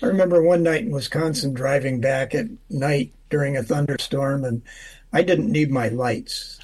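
A man giving a casual weather forecast on the radio, relaxed and unhurried, with many filler sounds. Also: medium pitch. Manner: relaxed, drawn out with lots of uhs. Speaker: weather forecaster and humor guest.

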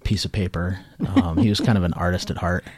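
A man from Maine, a judge, answering interview questions in a relaxed, measured way. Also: sits low, breathy edge, no room sound. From Maine, USA. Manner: relaxed, measured, some fillers. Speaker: guest, judge. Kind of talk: radio interview.

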